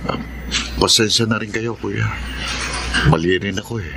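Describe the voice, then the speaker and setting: An actor playing a contrite married younger brother in a radio drama. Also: male voice, lowish voice, unhurried, gravelly, very noisy. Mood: hesitant, contrite.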